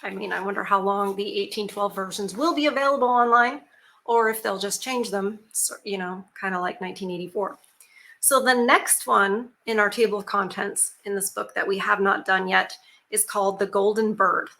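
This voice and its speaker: A woman reads a fairy tale aloud for her channel, with a bright, sharp tone. She talks in a chatty way, dropping in casual asides and fillers between steady passages of narration. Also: medium pitch, normal speed, gravelly. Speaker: YouTube storyteller.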